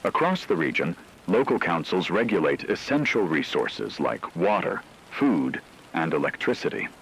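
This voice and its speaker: A man playing a radio news anchor in a doomsday broadcast, reading scripted news in a formal, measured way over noise. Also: low-pitched, big pitch swings, a bit bright, exaggerated voice, rough recording. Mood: grave, measured.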